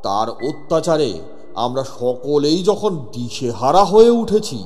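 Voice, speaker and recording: A man narrating a scripted horror story as a police inspector, theatrical and suspenseful with measured pauses. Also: fairly high pitch, expressive, darkish tone. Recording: long reverb over music bed. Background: music.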